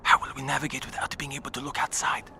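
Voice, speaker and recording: A man's voice: medium pitch, monotone, quick pace, gravelly, pushed and bright, whispered. An actor playing an anxious, doubtful man, a survivor who persistently questions his leader, in an audio drama. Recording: faint background ambience.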